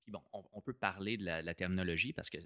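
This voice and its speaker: A man's voice: low voice, quick pace, pushed and bright, no echo, clean studio sound. A man with a Québécois French accent explaining policy in a casual discussion, repeating words as he thinks and mixing in English terms. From Quebec, Canada.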